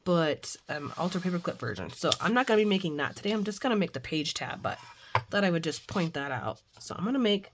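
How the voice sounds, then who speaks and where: Hoarse middle-aged woman host, lower voice, rambling casual chat with fillers. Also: sing-song, fast, background noise. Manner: chatty, rambling with frequent fillers. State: hoarse, recovering from flu with a cough. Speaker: craft channel host. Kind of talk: casual devotional and crafting chat. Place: home craft room.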